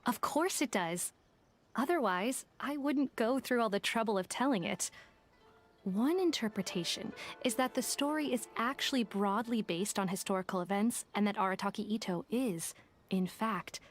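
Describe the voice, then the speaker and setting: A woman's voice: medium pitch, natural voice. A woman voicing a shrewd, self-assured detective for hire in a video game, articulate and businesslike. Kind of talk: English video game voice acting, explanatory dialogue.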